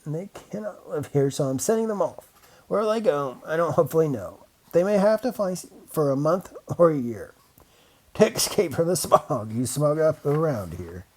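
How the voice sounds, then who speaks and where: A man's voice: on the high side, expressive, a little mellow, pitched above the performer's own voice. A man voicing a grumpy small male forest creature in a storybook, scolding and indignant as he draws out his complaints. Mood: angry, weary. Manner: indignant, snapping, drawn-out complaints.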